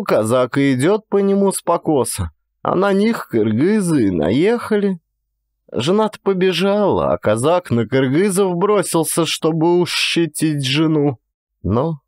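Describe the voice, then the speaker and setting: A man's voice: fairly high pitch, a little mellow, no echo, studio quality. A man voicing a garrulous, grumbling old Cossack village woman in a one-man audio play, with folksy, plaintive rustic speech. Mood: recounting, folksy.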